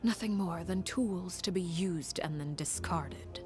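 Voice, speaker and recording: An actress voicing a cold, composed woman in a game cutscene, recounting a grim past in a measured, grave half-whisper. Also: fairly low pitch, music in the background, natural voice. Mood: grave, calm. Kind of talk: game story cutscene dialogue.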